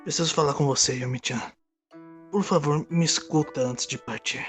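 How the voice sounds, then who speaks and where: A man's voice: fairly high pitch, moderate pace, lightly acted. A man playing a lovestruck young man in an audio drama, earnest and nervous, speaking with dramatic feeling. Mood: pleading. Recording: music under the voice. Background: background music.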